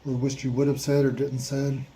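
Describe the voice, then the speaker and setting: A man slowly asking listeners a series of reflective questions, with playful asides in a surprised voice. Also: medium pitch, flat delivery, dark tone, low-quality recording. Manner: slow, repetitive questions with mock-surprised asides.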